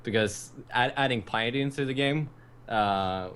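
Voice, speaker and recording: A man's voice: mid-range voice, measured pace, fairly bright tone, slight background noise. A man from Norway, a guest in a casual gaming chat. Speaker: podcast guest, gamer.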